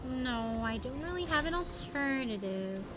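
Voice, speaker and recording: Very high, lively woman streaming a game. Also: a little slow, background noise. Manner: playful, exclamatory, thinks aloud. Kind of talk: casual gameplay commentary, chatting to viewers. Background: music behind the voice.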